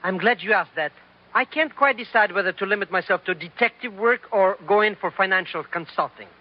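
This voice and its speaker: An actor playing a cowardly, money-loving comic sidekick in an old radio drama, flustered, florid and prone to stammering. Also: male voice, high-pitched, brisk. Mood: self-important, eager. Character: excitable, cowardly, money-loving small-time schemer, comic male sidekick. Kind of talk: 1940s radio comedy acting. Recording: dull old radio recording.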